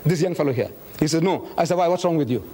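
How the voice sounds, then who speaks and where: Older man, high-pitched, chatty, acts out conversations in his stories. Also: lively intonation, fast, dark tone. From South Africa. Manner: chatty storyteller acting out dialogue, chuckling. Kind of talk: anecdotal talk to a group.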